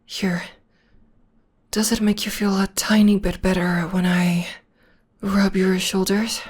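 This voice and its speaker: Soothing young witch girlfriend, voiced by a woman, breathy slow half-whisper, close mic ASMR. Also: mid-range voice, level tone. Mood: tender, reassuring. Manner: soft half-whispered comforting. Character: gentle young witch girlfriend, devoted, reassuring. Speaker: voice actor. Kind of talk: ASMR audio roleplay.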